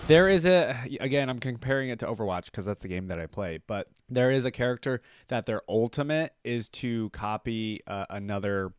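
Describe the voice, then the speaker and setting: A man giving casual, halting reaction commentary as a video host, informal and easily impressed. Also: medium pitch, animated, smooth, no echo, clean studio sound.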